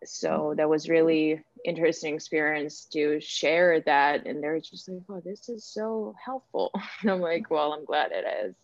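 Casting: woman, Ukrainian accent, low register, conversational and hesitant interviewee, muffled lo-fi recording. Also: steady tone, a little slow, few pauses. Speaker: interviewee, actor and director. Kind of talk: casual interview answers. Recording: echoey room, dull lo-fi sound.

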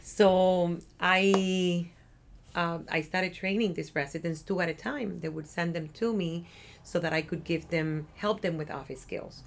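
Woman, Cuban accent, low voice, chatty, upbeat, Spanish native speaker. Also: fairly even intonation, unhurried, creaky, a little hiss or hum. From Cuba. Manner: talkative, upbeat, often adds "right?". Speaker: interview guest, nonprofit community development worker. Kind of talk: casual interview chat. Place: office.

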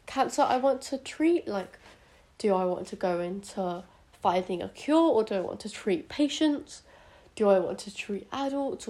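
A woman's voice: on the high side, a little mellow. A teenage girl with a British accent hosting a casual solo podcast, hesitant and rambling, sounding sick and sniffly. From United Kingdom, born in India. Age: teenager, about 14 to 15. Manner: hesitant, rambling, self-conscious. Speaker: podcast host.

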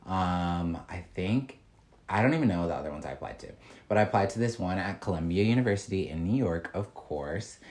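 A man's voice: sits low, animated, brisk, darkish tone. A young man co-hosting a podcast, telling stories in a rambling way full of "like" and "um". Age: young adult. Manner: rambling, filler-heavy storytelling. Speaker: podcast co-host. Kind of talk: casual chat.

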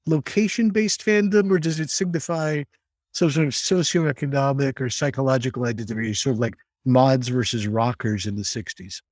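A man hosting a podcast, reading a polished introduction and then asking his guest questions in a measured, articulate way. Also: mid-range voice, no echo, clean studio sound. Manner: measured, articulate, reads from a script. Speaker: podcast host. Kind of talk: scripted intro then interviewing.

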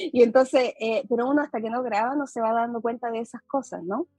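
A woman's voice: fairly high pitch, fairly quick, mellow, breathy edge, no room sound. A woman with a mixed Chilean accent hosting a casual podcast chat over a video call, talking in a chatty way with many fillers. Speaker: podcast host.

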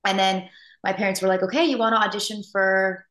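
A young woman in her twenties with an American accent, an actress guest answering in a relaxed, earnest way. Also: medium pitch, a little fast. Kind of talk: casual interview answers.

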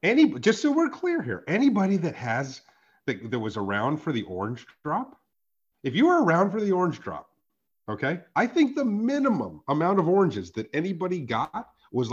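A man with a raspy, hoarse voice, co-hosting a casual podcast chat, emphatic and quick to laugh. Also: fairly high pitch, highs cut off. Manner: animated, emphatic, swears and laughs often. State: hoarse from a sore throat. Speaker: podcast co-host.

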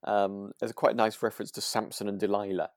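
A man co-hosting a podcast, musing in a relaxed, halting and conversational way. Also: on the low side, no room sound, studio quality. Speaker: podcast co-host. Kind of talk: relaxed discussion of a radio drama.

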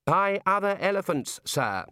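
A man's voice: high voice, very animated, a little slow, bright, forceful tone, clean tone, no echo, studio quality, voice pushed up, full character voice. A man reading a story aloud and voicing a put-upon young male wizard who explains things wearily to a god. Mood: weary exasperated. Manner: weary, exasperated explaining.

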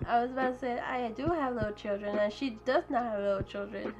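Giggly teen girl, slow halting chat, kinda high voice, music going behind. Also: muffled recording. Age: teens. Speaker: podcast co-host. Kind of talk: casual chat.

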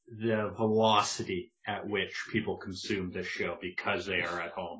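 A man with a lowish but strikingly bright, forceful voice co-hosts a casual podcast. He is laid-back and teases his partner dryly. The recording is a bit dull and lo-fi. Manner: laid-back, dry teasing. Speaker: podcast co-host. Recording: dull lo-fi sound.

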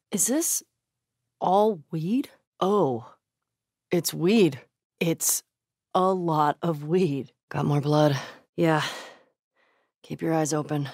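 A woman's voice: sits low, mellow, dry recording, heavily acted. Game role: young American female survivor, sardonic, blunt, foul-mouthed, naturalistic delivery, dry studio sound. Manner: blunt, sweary. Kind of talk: naturalistic video game acting.

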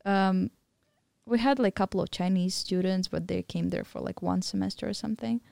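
Young woman guest, mellow tone with some fry, casual storyteller. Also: medium pitch, steady tone, normal speed, no room sound, studio quality. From Mongolian-German, living in Thailand. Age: young adult. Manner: candid, storytelling with many fillers. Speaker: podcast guest. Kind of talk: casual interview chat.

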